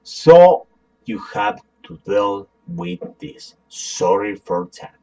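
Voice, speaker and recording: A man with a Spanish accent, a native Spanish speaker, giving a software tutorial in a halting, apologetic way with many fillers and restarts. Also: on the low side, sing-song, poor audio. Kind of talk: screen-recorded tutorial.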